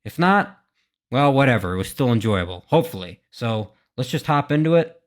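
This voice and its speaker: A man's voice: medium pitch, lively intonation, fairly quick. A man giving casual, speculative commentary as a gaming reaction host, often questioning his own thoughts. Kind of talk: casual commentary, thinking aloud.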